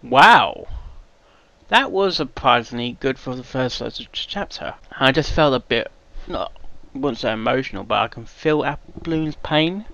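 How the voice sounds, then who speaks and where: A man casually reacting to a story reading, rambling and hesitant with lots of restarts. His pitch swings around a lot, and the recording sounds dull and lo-fi. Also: lively intonation, measured pace, gravelly, sharp tone. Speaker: reaction video host. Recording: dull lo-fi mic.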